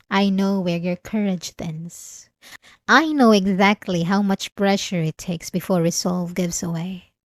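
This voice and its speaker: Woman doing an obsessed old vampire lady, asmr roleplay, slow and intimate, possessive, super dry recording. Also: medium pitch, no echo. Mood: menacing, controlled. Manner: slow, intimate, deliberate, dramatic pauses. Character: obsessive, possessive, centuries-old female vampire, menacingly tender. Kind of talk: ASMR roleplay, dramatic monologue.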